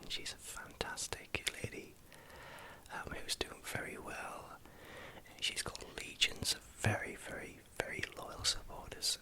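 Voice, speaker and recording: A man with a Geordie accent from northeast England, speaking as an ASMR creator in a hesitant, halting way while recounting things. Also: rough recording. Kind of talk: whispered ASMR vlog, recounting.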